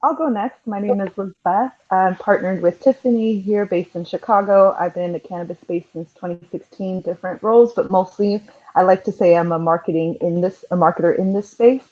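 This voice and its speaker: A woman's voice: medium pitch, steady tone, fairly quick, mellow. A woman speaking informally as a panelist in marketing, explaining her industry, with slightly dull sound. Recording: slightly dull call audio.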